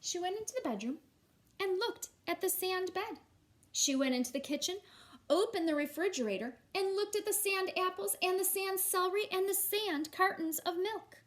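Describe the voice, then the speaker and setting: A woman's voice: extremely high pitch, lively intonation, moderate pace. A woman teacher reading a children's story aloud expressively, with casual, encouraging asides.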